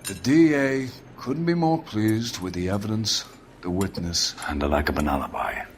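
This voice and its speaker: A man's voice: fairly low pitch, expressive, moderate pace, a little hiss or hum, close to an everyday voice. A man playing a folksy, expansive older police captain in a video game cutscene. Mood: jovial.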